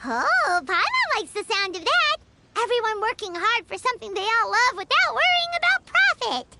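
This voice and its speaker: A woman voicing a tiny, excitable, childlike floating companion girl in a video game, chirpy in manner. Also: very high voice, moderate pace, loud music behind the voice, exaggerated voice. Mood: excited, delighted. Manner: refers to self in third person, chirpy. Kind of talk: video game character voice acting.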